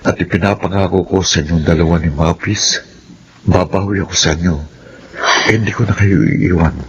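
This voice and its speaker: Actor as a deep-voiced remorseful Filipino man. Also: fairly even intonation, normal speed, slight background noise, natural voice. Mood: remorseful, pleading. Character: remorseful returning lover and father, pleading earnestly. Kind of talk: Filipino radio drama acting. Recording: dull radio recording, music bed.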